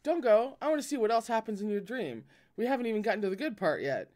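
A man's voice: high voice, big pitch swings, brisk, voice pushed up. A man voicing a mischievous, teasing young man who whines and jokes in a raised voice. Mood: eager. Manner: teasing, whiny, sing-song.

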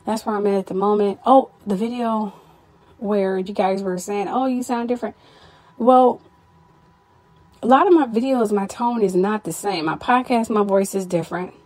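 A tired, stressed woman hosting her own podcast, talking candidly to listeners in a casual personal update, her voice a little hoarse. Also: mid-range voice, normal speed, darkish tone, poor audio. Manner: candid, weary, stressed, with frequent "you know". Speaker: podcast host.

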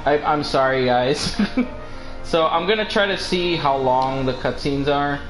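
A man's voice: fairly high pitch, a little slow. Guy streamer just chatting while he games, roomy mic, some game music behind. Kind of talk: casual live-stream chat while gaming. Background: video game music.

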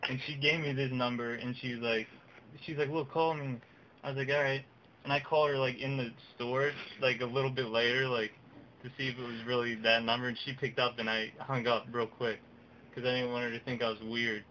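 A man's voice: medium pitch, little pitch movement, fairly quick, sharp tone, slight background noise, poor audio. A young man making casual prank calls in a slangy, mock-earnest way, recorded on a webcam in a small room. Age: young adult. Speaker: prank caller. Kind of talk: casual prank phone chat.

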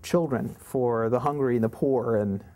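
30s male pastor, halting faith-filled talk, medium voice. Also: mid-range voice, warm tone. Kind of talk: testimonial interview. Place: living room, seated on a sofa.

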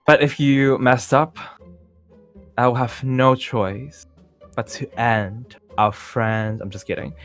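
A man playing a self-assured young male pop singer in an improvised Minecraft roleplay, talking in a halting, rambling, nonchalant way. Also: mid-range voice, steady tone, a bit bright. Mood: casual, encouraging. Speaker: roleplay performer. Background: music.

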